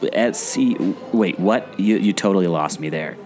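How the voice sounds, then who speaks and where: A man's voice: deep voice, moderate pace, a little mellow. A man in a scripted audio play, speaking as a skeptical, puzzled sidekick with a theatrical delivery. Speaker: podcast co-host, former theme park skipper. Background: guitar music.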